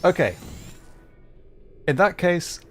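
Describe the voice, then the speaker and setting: A man's voice: high voice, sing-song, takes it slowly, fairly bright tone, faint music behind. A man hosting a casual gaming livestream, chatting in a flustered, apologetic and self-deprecating way. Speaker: livestream host playing a video game. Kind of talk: casual livestream chat while troubleshooting.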